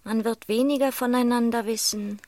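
Actress as commenting woman in radio drama chorus, clipped and pointed, highish, music underneath. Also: steady tone, normal speed, warm tone, lightly acted. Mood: knowing. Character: commenting female inner voice, knowing and partisan. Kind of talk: radio drama choral narration. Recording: clear music behind.

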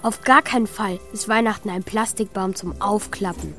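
A woman's voice: mid-range voice, fairly even intonation, normal speed, a bit bright, faint music behind, lightly acted. A woman playing a pensive, wistful child who narrates and speaks plainly in a children's radio play. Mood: sulky, wistful. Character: Mika, pensive child missing a parent at Christmas. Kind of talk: radio play first-person narration and dialogue.

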